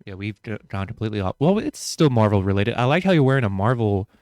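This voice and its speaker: Smooth low-voiced man chatting casually. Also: big pitch swings, fast talker, darkish tone, no room sound, clean studio sound. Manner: rambling, joking, lots of fillers. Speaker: podcast co-host. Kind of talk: casual chat.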